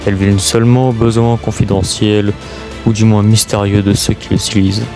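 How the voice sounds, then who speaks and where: A man reading a scripted documentary narration as presenter, in a halting way with stumbles. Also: on the low side, flat delivery. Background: music.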